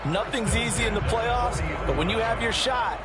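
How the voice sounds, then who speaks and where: A man's voice: very high-pitched, normal speed, over loud music. A man with an American accent playing an assured, explanatory color commentator in a football video game.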